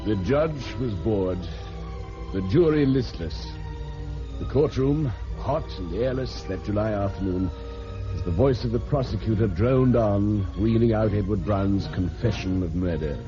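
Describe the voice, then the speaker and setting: A man playing a cool, worldly court reporter, narrating a vintage radio drama in a dry, measured way. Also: fairly low pitch, music in the background, close to an everyday voice. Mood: cool, dramatic. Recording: muffled vintage radio recording.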